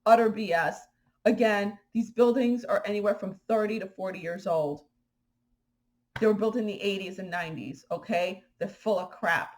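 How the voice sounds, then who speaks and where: A woman's voice: medium pitch, normal speed, poor audio. Woman vlogger from Haiti, indignant chatter. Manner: animated, indignant, fillers and restarts. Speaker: spiritual vlogger. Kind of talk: casual spoken commentary to viewers.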